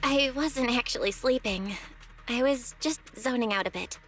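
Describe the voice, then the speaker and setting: An actress playing a perky, earnest young heroine in an anime-style video game. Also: female voice, a little high, moderate pace, fairly bright tone, a little hiss or hum, lightly acted. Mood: puzzled, tired. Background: music.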